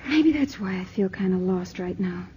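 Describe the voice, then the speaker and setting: A young woman playing a gentle, frightened heroine in a 1950s radio drama, speaking softly and wistfully. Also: mid-range voice, expressive, moderate pace, natural voice. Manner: soft, wistful. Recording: muffled old radio broadcast with faint hiss.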